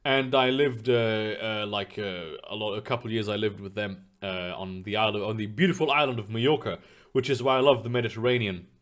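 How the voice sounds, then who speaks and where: A man's voice: on the low side, fairly quick, a bit bright. A young man streaming live, chatting loosely with his viewers in a rambling way, full of fillers and casual swearing. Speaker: streamer reading chat.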